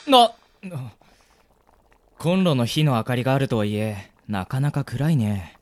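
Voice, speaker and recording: Guy doing timid nice young dude, medium pitch, voice jumps around a lot, radio drama. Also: expressive, a little slow, no room sound, close to an everyday voice. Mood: uneasy. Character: mild, hesitant young man wary of his friends. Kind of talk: amateur Japanese radio drama acting.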